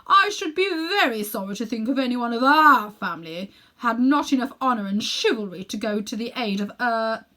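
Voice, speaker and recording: A woman's voice: on the high side, lively intonation, normal speed, hardly any pauses, bright, forceful tone, voice pushed up. A woman storyteller plays a pompous old uncle from a children's storybook. He is a cruel amateur magician with a smug, condescending manner. Every phrase is haughty and coolly superior. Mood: high and mighty.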